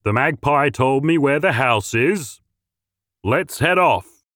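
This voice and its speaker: A man voicing a scruffy, clever male mutt dog who speaks loudly and firmly, in a storybook reading. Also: medium pitch, expressive, takes it slowly, no room sound, studio quality. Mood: scheming.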